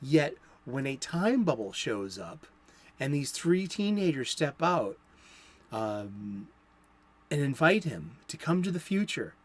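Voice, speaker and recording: A man from Boston hosting a solo comics talk show, chatty and playful with rambling asides. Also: on the high side.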